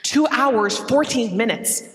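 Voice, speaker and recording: Woman as a high-voiced shapeshifting assistant. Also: animated, takes it slowly. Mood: dutiful. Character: obliging female shapeshifting space assistant. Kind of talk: staged audio drama comedy. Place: on stage in a theatre. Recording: noisy live stage recording, hall reverb.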